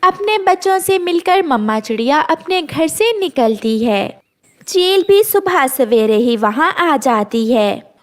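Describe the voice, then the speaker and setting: A woman narrates a children's tale from a script. Her voice is high and her pace is slow and measured. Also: very animated. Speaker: story narrator.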